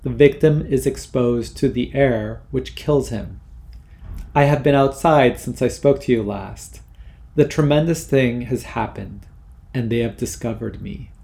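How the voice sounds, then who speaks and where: A defiant young son who speaks with forceful conviction, read by a male narrator in a storybook reading. The voice is a low-middle man's voice with gravel in it. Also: a little slow. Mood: grave. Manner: earnest, forceful, declaiming.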